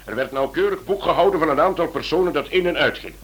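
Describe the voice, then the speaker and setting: A man playing a senior police chief in a radio play, speaking in a measured, authoritative way. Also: a little high, lively intonation, fairly bright tone. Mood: rueful, insistent.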